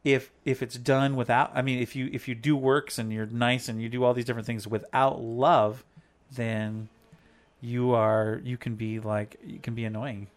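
A man's voice: medium pitch, little pitch movement, normal speed. A man chatting with his wife on a faith talk show, playful and rambling as he counts off points like a teacher. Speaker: co-host, devotional talk.

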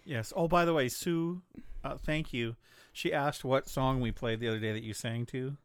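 A man's voice: mid-range voice, moderate pace, clean tone, dry recording, clean studio sound. A middle-aged man co-hosting a casual podcast chat and joking around. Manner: joking, quotes movie lines in silly voices. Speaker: podcast co-host.

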